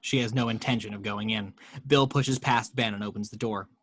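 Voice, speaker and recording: A man's voice: lowish voice, steady tone, fairly quick, no echo. A man narrating screenplay action and scene directions as the reader in a scripted table read.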